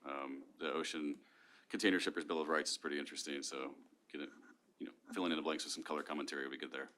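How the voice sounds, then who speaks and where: A man chairing a committee meeting, brisk and lightly joking as he prompts members for comments. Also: rumbling low, steady tone, sharp tone, slight background noise.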